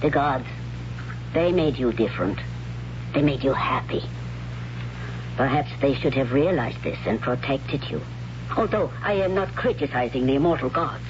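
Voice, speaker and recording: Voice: medium pitch, expressive, close to an everyday voice. Performer as grave, formal priestess on muffled radio. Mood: grave, tender. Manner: formal, measured, solemn. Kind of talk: 1970s radio drama acting. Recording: muffled, noisy 1970s radio recording.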